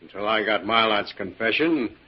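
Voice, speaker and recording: No-nonsense police inspector, raspy, old time radio drama, dull sound. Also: male voice, fairly low pitch, moderate pace. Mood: businesslike. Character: businesslike male police inspector. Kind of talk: 1940s radio drama acting. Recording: muffled old radio recording.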